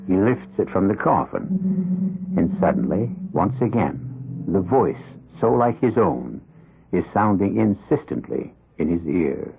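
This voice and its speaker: A man hosting and narrating an old-time radio horror drama in a measured, ominous storytelling manner. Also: low voice, vocal fry. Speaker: narrator and host. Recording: dull old radio recording, music behind.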